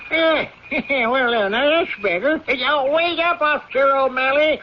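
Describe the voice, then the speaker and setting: High-voiced, folksy, chuckling animal keeper. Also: male voice, measured pace. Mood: genial, chuckling. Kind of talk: radio drama acting. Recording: muffled, noisy old radio recording.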